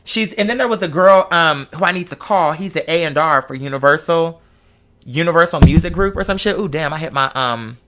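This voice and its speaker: A man's voice: on the high side, lively intonation, a little fast, fairly bright tone. A man in a casual, chatty monologue, speaking in a sassy, campy, slangy and emphatic way.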